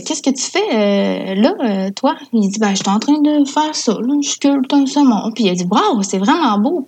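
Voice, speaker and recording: A woman's voice: fairly high pitch, a little mellow. A woman with a Quebec French accent, an interview guest telling stories in a casual, enthusiastic way. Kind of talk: casual conversational storytelling.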